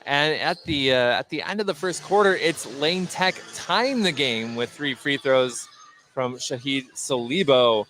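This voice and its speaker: A man's voice: mid-range voice, fairly bright tone. A man doing live radio play-by-play of a basketball game, describing the action in short, clipped phrases. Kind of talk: live radio sports commentary. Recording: noisy gym, clear background noise. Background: gymnasium ambience, bouncing basketballs.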